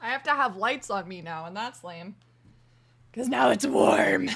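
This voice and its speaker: A hoarse woman streamer chatting casually to her livestream in a chatty, self-deprecating way from a room at home. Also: on the high side, expressive, measured pace, fairly bright tone.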